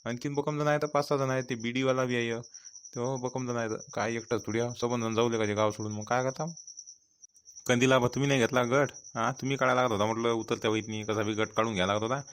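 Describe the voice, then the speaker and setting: Man playing a fast-talking, cheeky village borrower. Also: medium pitch, fairly even intonation, a bit bright, slight background noise, lightly acted. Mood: cheeky, defiant. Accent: Vidarbha Marathi. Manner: argumentative, teasing. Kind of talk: comic cartoon dialogue.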